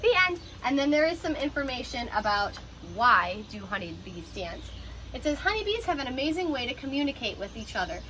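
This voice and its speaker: Female teacher for children's read-aloud: higher-pitched, crisp and bright, instructive and expressive, slight background noise. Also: brisk. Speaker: teacher reading a picture book aloud. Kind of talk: children's storybook read-aloud with explanatory asides.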